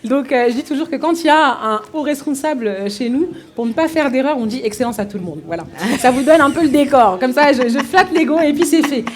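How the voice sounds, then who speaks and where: Woman from dr congo, workshop moderator, fairly high voice, lively questioning. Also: fairly quick, few pauses. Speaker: workshop facilitator.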